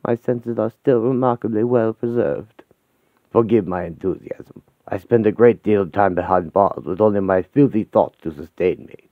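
A man's voice: on the low side, no echo, natural voice. A man doing a lecherous old French marquis. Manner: suave, relishing, grandiose. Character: ancient, lecherous French aristocrat, suave and grandiose. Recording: tinny phone-like sound.

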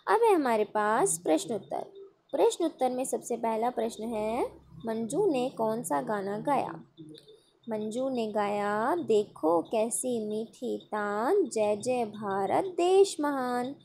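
High-voiced, unhurried woman teacher. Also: animated, darkish tone, a little hiss or hum. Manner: patient, asks and answers own questions. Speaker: school teacher. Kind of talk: recorded online class over worksheet.

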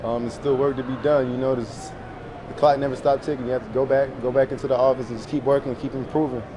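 A young man, a college football player, answering reporters in a press room with short, low-key replies full of fillers. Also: flat delivery, fast talker, crowd noise, rough recording. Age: young adult. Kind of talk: post-game press conference answers. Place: press room in front of a sponsor backdrop. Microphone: table mics in front of him.

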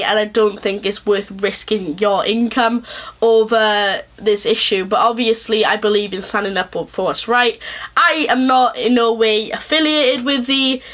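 A young woman with a thick Welsh accent, ranting casually to camera in her bedroom, fast and chatty with lots of fillers. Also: fairly high pitch, a bit bright. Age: young adult. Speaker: vlogger. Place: small bedroom, indoors.

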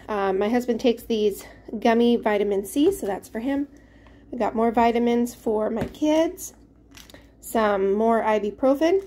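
Young mom, slightly high voice, chatty home vlog on a handheld camera. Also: female voice, mellow, slight background noise. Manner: chatty, loses words, trails off. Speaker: mother of a large family, vlogger. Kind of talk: casual grocery haul walkthrough. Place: indoors at home, dining room and pantry. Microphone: handheld camera held at chest height.

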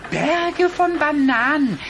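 A performer voicing a cheeky, quick-witted male cartoon rabbit in a German children's audio play, with a sassy, wisecracking manner. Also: sits high, expressive, heavily acted. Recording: noisy old cassette audio play.

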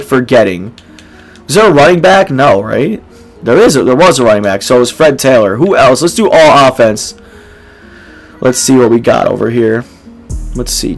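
A young man in his twenties with a hoarse, nearly gone voice, casually commenting on a game to his viewers in a small dark room. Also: a little high. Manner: laid-back, filler-heavy, addresses viewers as boys. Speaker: gaming YouTuber.

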